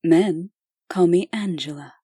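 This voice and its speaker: Woman playing a low, slow, velvety angel huntress. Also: mellow, slightly rough, no echo, clean studio sound. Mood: quietly menacing. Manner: poised, teasing. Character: cool, seductive, menacing female angel huntress. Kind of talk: comic dub acting.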